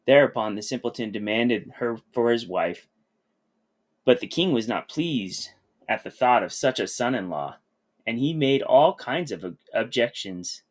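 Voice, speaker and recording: A man reading a children's fairy tale aloud as scripted narration. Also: medium pitch. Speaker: storybook reader.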